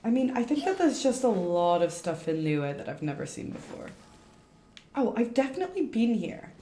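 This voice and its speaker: A woman's voice: on the high side, sing-song, moderate pace, mellow, slight background noise. A woman streaming a game with casual, rambling commentary, thinking aloud over game music. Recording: echoey room, faint game music. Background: faint game music.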